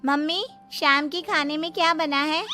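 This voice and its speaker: A young married daughter in a cartoon story, put on by a woman narrator. Her high voice is animated and whiny as she grumbles about a lazy husband. Soft music runs behind her. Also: unhurried. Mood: grumbling.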